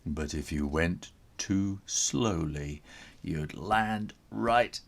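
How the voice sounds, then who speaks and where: A man reading a children's story aloud as its author, theatrically, with dramatic pauses and whispery emphasis. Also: deep voice, gravelly.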